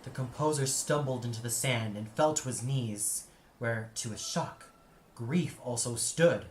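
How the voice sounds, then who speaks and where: A man's voice: mid-range voice, a little slow. A man narrating an audio play as a dramatic, scripted storyteller. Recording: echoey, with noise behind. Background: ambient sound effects.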